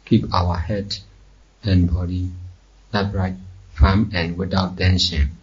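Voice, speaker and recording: A man teaching guided meditation slowly, in measured short phrases with long pauses. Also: sits low, level tone, husky. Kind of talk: slow guided meditation instruction. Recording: dull, muffled lo-fi recording.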